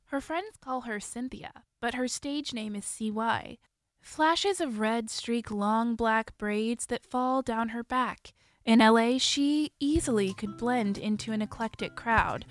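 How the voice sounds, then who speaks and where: A woman reporter narrates a radio feature in a fairly high voice. Her delivery is measured and clear, with soft music faintly behind. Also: no room sound. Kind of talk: scripted news narration.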